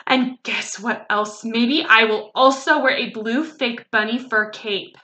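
A woman voicing an excitable, bossy little girl in a children's storybook, gushing and emphatic with childish grammar. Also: on the high side, unhurried. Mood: gleeful. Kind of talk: children's storybook read-aloud.

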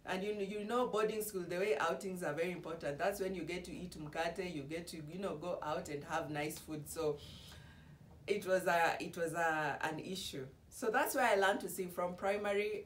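A middle-aged woman from Kenya giving a soft-spoken, halting devotional introduction before singing a hymn. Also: lowish voice, slight background noise. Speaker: gospel singer. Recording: voice a bit far from the mic.

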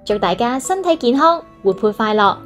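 Female children's storyteller, Hong Kong Cantonese accent, animated and fairly high, music underneath. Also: moderate pace. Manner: expressive, addressing young children. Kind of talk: read-aloud children's story narration.

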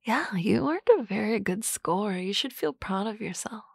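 A woman playing a teasing, confident office boss in a soft, close, whispered ASMR roleplay. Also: mid-range voice, moderate pace, creaky, slightly rough, dry recording.

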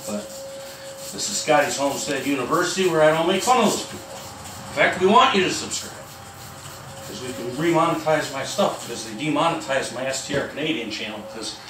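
A man in his forties hosting a casual how-to demonstration in his garage, chatty and joking while he works. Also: fairly high pitch. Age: 40s. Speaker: homestead channel host. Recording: echoey garage, distant mic, noisy.

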